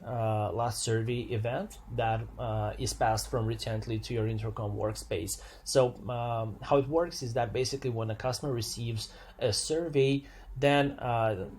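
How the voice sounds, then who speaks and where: A man with a mid-pitched voice walks through a piece of software as a tutorial presenter. He explains things step by step, unscripted, with some hesitation and repeated phrases. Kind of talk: unscripted screen-share walkthrough, explanatory.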